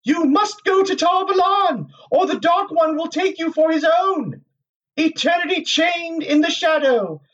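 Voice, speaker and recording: A man's voice: very high-pitched, lively intonation, measured pace, a bit bright, distant mic, highs cut off, pitched above the performer's own voice, exaggerated voice. A man voicing a severe, commanding sorceress with a cutting manner in a fantasy storybook reading. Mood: stern warning. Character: serene, severe sorceress with a lashing voice.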